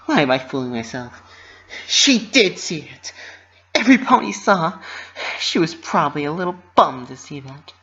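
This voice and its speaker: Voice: medium pitch, lively intonation, moderate pace, bright, forceful tone, dull audio, voice pushed up. A storybook reader voicing an anxious, self-doubting young female party pony with panicky, self-reproaching outbursts.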